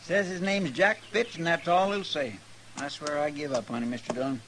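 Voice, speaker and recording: A folksy frontier deputy played by a man with a rather high voice, eager and respectful toward his boss. It is an old radio drama recording with clear background noise. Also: fairly even intonation, a little fast, a bit bright, lightly acted. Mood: folksy, deferential. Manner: folksy, deferential. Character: eager, deferential, folksy male marshal's deputy. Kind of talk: 1950s radio western acting.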